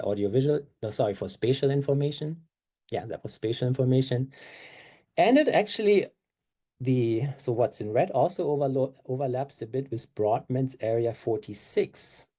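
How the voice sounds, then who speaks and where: A man's voice: mid-range voice, dark tone. A man with a German accent, a native German speaker, giving a conference talk with slides in an explanatory way, with frequent fillers and self-corrections.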